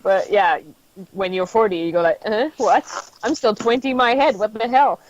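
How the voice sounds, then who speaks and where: A woman's voice: mid-range voice, normal speed, noisy background. Woman in her 50s telling stories on a podcast, muffled lo-fi audio. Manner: reflective, anecdotal storyteller. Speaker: podcast guest. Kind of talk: casual panel chat. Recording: dull lo-fi sound.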